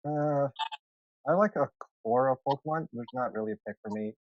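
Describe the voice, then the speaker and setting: A man from Canada speaks casually in a medium-pitched voice as a gaming panel guest. He comes across as laid-back and noncommittal. The call audio is dry and a bit dull. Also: moderate pace, no echo. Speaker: game writer, panel guest. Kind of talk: casual chat.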